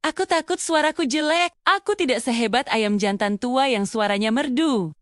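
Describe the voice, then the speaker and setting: A woman's voice: on the high side, normal speed, no echo, studio quality, natural voice. A woman voicing a timid, insecure young male rooster in a children's fable, speaking in a hesitant, dejected way. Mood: fearful, dejected.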